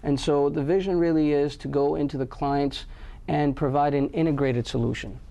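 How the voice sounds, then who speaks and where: Gravelly-voiced man, halting speech. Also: a little high, fairly even intonation, mellow. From Pakistan. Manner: hesitant, many fillers and restarts. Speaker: guest, company head. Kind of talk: interview answers.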